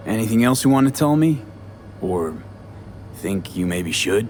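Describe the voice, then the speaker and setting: A low, terse street-smart mercenary. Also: male voice, animated, measured pace, darkish tone, slight background noise. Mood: probing. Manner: clipped, terse questioning. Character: laconic street-smart male mercenary investigator in cyberpunk city. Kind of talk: video game voice acting. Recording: music behind.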